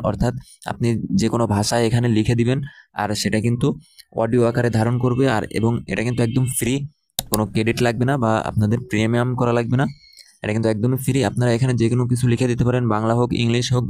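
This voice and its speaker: A man presents a step-by-step app tutorial. He talks quickly in a mid-range voice with little melodic movement. His delivery is instructional and repetitive, directed at viewers. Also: medium pitch, level tone, a little mellow, no echo.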